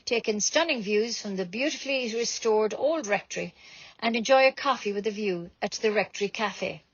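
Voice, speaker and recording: A woman's voice: a little high, dry recording, dull audio. An Irish woman reading scripted local news as a community radio presenter.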